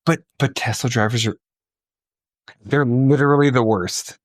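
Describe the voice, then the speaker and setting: A man talks as a podcast co-host in loose, casual banter. He is blunt and emphatic, swearing freely as he gives his opinions. His voice sits at a middling pitch, with a very dry sound free of room echo. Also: measured pace.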